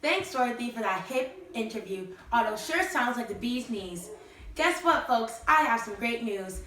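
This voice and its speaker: A woman's voice: on the high side, lively intonation, normal speed, crowd noise, close to an everyday voice. A young woman acting as a chirpy, theatrical 1920s radio show host who uses old-time slang. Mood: excited. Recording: roomy, distant mic, faint noise.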